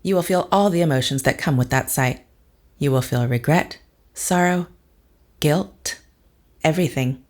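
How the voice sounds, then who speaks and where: A woman playing a cold, unflinching character with a superior mind, speaking in deliberate, formal phrases in an audio drama. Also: sits low, a little mellow. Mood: grave. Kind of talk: scripted audio drama acting.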